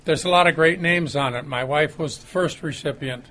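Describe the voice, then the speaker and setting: Halting older man with higher-pitched voice. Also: fairly bright tone. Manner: hesitant, halting. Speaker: club member giving a short speech. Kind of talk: informal reminiscing speech. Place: restaurant luncheon room. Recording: faint room noise.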